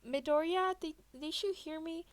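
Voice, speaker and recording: A woman voicing a guilty, panicky teenage girl who pleads apologetically and haltingly in a fan fiction reading. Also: very high-pitched, no room sound, voice pushed up. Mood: timid.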